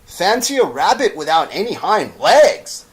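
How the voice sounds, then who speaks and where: Brash young wild rabbit for a storybook, played by a man: high, loud, taunting. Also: very animated, a little slow. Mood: mocking. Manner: taunting, exclamatory.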